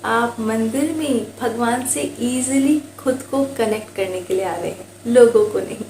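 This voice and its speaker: An Indian woman voicing a calm, wise male temple priest in a storybook tale, speaking politely and instructively. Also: a little high, a little slow. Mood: wise counsel. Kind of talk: storybook dialogue. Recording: roomy echo with faint background noise.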